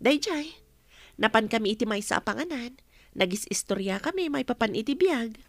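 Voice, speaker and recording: A woman's voice: a little high, animated, normal speed, dry recording. A woman playing a meek, earnest young wife with an anxious, deferential way of talking, in a radio drama. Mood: earnest, concerned.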